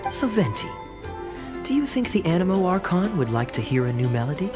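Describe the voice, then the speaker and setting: A man's voice: high voice, sing-song, brisk, over music. A man voicing a friendly, earnest organizer in a fantasy game, upbeat and persuasive.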